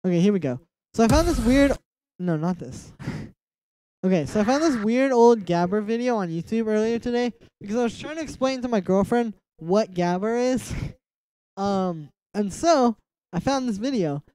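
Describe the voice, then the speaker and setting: A young man with a nasal voice hosting a casual music production livestream from a small room at home. He talks in a relaxed, rambling way, full of "like" fillers, and mumbles asides to himself. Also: high voice, normal speed, clear, resonant tone, rough recording.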